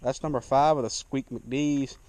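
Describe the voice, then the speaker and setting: A man's voice: medium pitch, steady tone, a little slow, darkish tone. A young man, chatting casually and joking at himself while fishing from a small boat on a lake, picked up by an action camera mic. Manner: laid-back, self-deprecating banter. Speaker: fishing vlogger. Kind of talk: casual outdoor fishing narration.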